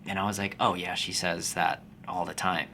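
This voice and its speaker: A man in his forties, born in the Philippines, a fitness business coach talking to camera in a calm, slow, unhurried way. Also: low voice, a little hiss or hum. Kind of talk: casual talking-to-camera vlog.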